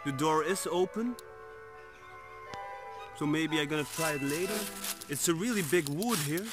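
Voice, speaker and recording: A man's voice: a little high, moderate pace, over music. A man in his twenties chatting casually and slangily to viewers as an urban exploration vlogger, recorded outdoors on a phone held at arm's length. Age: 20s. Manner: slangy, addresses viewers as bros. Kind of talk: casual vlog chat to camera. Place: outdoors in woods by an abandoned building. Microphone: phone on selfie stick at arm's length.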